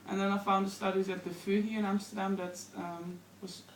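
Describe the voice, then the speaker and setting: A woman's voice: mid-range voice, little pitch movement, slight background noise. Woman, Dutch accent, 30s, chatty, roomy echo, distant mic. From Netherlands. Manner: chatty, quick, uses "like" often. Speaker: guest, anthropologist. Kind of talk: radio interview.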